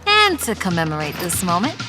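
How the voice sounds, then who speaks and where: A woman playing a brisk, caring teammate in a video game. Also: on the low side, huge pitch swings, music in the background. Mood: brisk, concerned.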